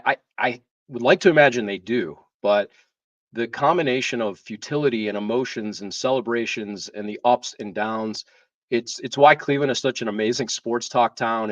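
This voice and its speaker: A man hosting a live talk show, speaking in a hesitant way with drawn-out pauses. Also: medium pitch, fairly bright tone. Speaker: show host. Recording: dull, roomy, distant microphone.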